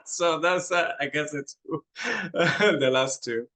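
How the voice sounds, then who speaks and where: A man's voice: fairly high pitch, expressive, takes it slowly, bright, forceful tone. A middle-aged man from Puerto Rico with a Puerto Rican accent, a Spanish speaker, guesting on a casual interview and laughing often in a modest way. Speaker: interview guest, medical coder.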